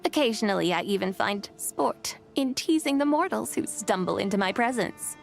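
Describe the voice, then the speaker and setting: A woman's voice: mid-range voice, lively intonation, moderate pace, vocal fry, soft background music. A woman playing a haughty, playful immortal horse spirit in a video game, speaking in theatrical, formal, archaic phrases. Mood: teasing, haughty. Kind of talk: scripted game voice acting.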